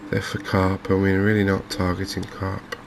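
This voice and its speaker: A man hosting a gaming video in a softly spoken ASMR commentary style, unhurried and hesitant, musing to himself. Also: deep voice, monotone, vocal fry, noisy background.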